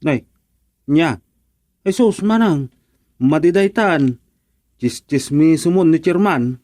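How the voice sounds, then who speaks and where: An actor playing a drowsy, easygoing village man in an Ilocano radio comedy, with drawn-out sighing interjections. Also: on the high side, animated, a little mellow, no room sound. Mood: teasing. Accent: Filipino, Ilocano.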